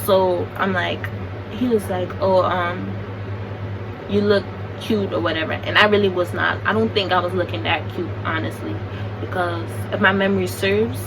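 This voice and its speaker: A young American woman telling a story in a chatty, animated, slangy way. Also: fairly low pitch, normal speed. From United States. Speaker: vlogger. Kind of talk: casual storytime. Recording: distant mic with background noise.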